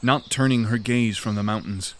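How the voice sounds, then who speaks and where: Man, narrator, scripted fantasy narration, steady delivery. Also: lowish voice, normal speed, a little hiss or hum.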